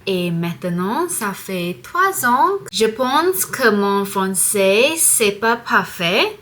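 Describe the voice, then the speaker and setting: A young woman vlogger from Singapore speaks to her viewers in a language she is still learning. She takes her time, pausing to find words and building sentences carefully. Her pitch sits in an ordinary middle range. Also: sing-song, bright, forceful tone, a little hiss or hum. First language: not French. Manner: slow, careful learner's French with pauses. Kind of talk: casual vlog to camera.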